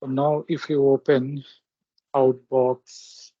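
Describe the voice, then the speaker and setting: Slow-talking man, dull lo-fi sound. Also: medium pitch, flat delivery, no room sound. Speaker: software tutorial presenter. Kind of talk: step-by-step screen demonstration narration. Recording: dull, lo-fi close microphone recording.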